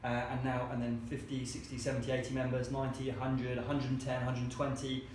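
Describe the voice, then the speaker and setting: A man's voice: mid-range voice, completely flat delivery, fast, darkish tone. A man, founder of a co-working space, telling stories in an interview in a chatty way and acting out the lines of the people he quotes. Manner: chatty, acts out quoted dialogue. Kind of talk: anecdotal storytelling interview. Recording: very echoey room with faint hiss.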